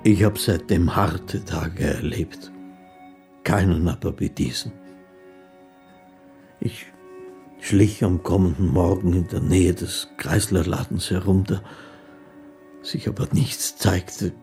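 An actor playing a melancholy, naive poor fiddler in a radio drama, speaking in a halting, subdued way as he recalls his lost love. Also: low-pitched, dark tone, soft background music. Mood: sorrowful, resigned. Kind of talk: radio drama first-person narration.

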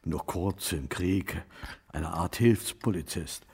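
A man's voice: low-pitched, slow pace, darkish tone, heavily acted. An actor playing an old father who dryly reminisces about the war in a naturalistic radio drama. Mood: dry, reminiscing. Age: older. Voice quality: creaky. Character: old German father, wartime auxiliary policeman, dryly reminiscing.

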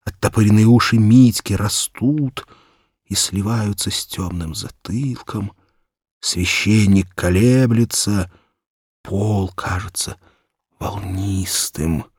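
Male audiobook narrator, lowish and unhurried, acting out a children's tale with feeling, in a very dry studio sound. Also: husky, dry recording. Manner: expressive, measured, acted delivery. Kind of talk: dramatic literary narration of a children's story in first person.

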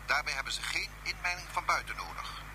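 An actor playing a deferential, somewhat hesitant aide to a Martian ruler, speaking formally in a science fiction radio play. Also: male voice, on the high side, big pitch swings, brisk, background noise. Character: obedient male aide at a Martian ruler's base.

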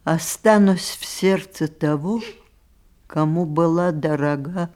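An actress playing a very old grandmother in a radio play, wise and sharp-tongued, with a measured, reflective delivery. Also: sits low. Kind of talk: theatrical radio play acting.

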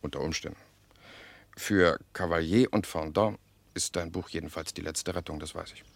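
An actor playing a cynical, worldly journalist and jovial schemer in a radio drama, sardonic and theatrical. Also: male voice, deep voice, fairly even intonation, moderate pace, vocal fry, no room sound. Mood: cynical, expansive.

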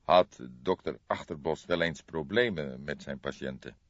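A man voicing a kindly but insistent police detective in an audiobook, questioning in a measured way. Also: rumbling low, no echo, lo-fi sound. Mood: kindly, curious.

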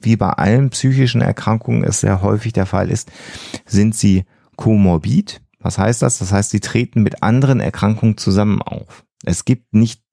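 A man explaining psychology on a podcast in a measured way, hesitating as he searches for precise wording. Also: fairly low pitch, creaky, warm tone, no room sound, clean studio sound.

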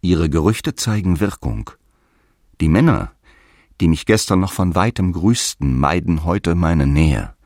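Grave, fervent apostle in a low voice. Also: male voice, lively intonation, measured pace, a little mellow, no room sound, studio quality, exaggerated voice. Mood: grave, urgent. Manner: declamatory, grave, exhorting. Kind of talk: solemn radio drama monologue in archaic scriptural language.

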